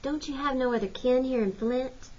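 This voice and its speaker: A woman's voice: sits high, normal speed, mellow, lo-fi sound, pitched above the performer's own voice. A woman reading a storybook in the role of a sweet, frank and inquisitive ten-year-old girl. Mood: frank, probing.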